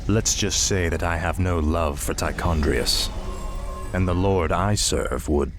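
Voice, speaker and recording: A man voicing a cold, cunning human dark knight in a game cinematic, taunting and persuasive in a measured way. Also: low voice, music in the background. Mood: sly. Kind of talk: video game cinematic acting.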